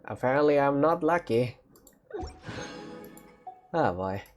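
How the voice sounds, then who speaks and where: A man gives casual gaming commentary as host of a video. His pitch moves around a lot as he reacts off the cuff in short phrases, with faint music behind him. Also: lively intonation, slow.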